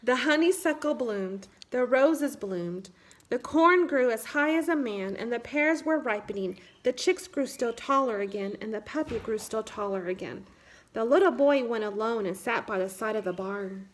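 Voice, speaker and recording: A woman with a high-pitched voice reads a children's storybook aloud. She keeps her phrasing measured and simple, suited to young listeners. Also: animated. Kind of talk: picture-book read-aloud narration.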